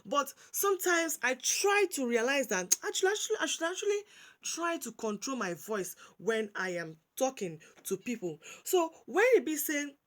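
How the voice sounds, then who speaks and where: Nigerian woman, high voice, nigerian pidgin-laced english, casual commentary monologue, frequent restarts. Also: normal speed. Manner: animated, repetitive restarts, mixing English and Pidgin. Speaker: commentary vlogger.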